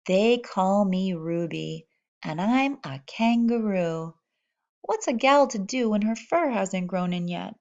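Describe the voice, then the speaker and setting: A woman reading a children's picture book aloud in an expressive manner, voicing animal characters in the first person. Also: medium pitch, slow, highs cut off. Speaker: storybook reader.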